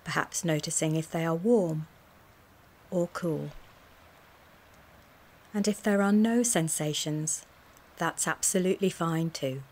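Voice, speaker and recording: A woman wellness coach leads a guided mindfulness body scan in a gentle, soothing, measured way, speaking in short phrases with pauses. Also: low-pitched. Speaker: wellness coach guiding a relaxation session.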